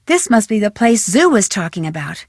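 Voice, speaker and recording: A young American woman playing a plucky, curious amateur detective in a video game, with crisp, expressive, self-narrating delivery. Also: medium pitch, moderate pace, dry recording, clean studio sound. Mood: puzzled, thinking aloud. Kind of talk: scripted video game character lines.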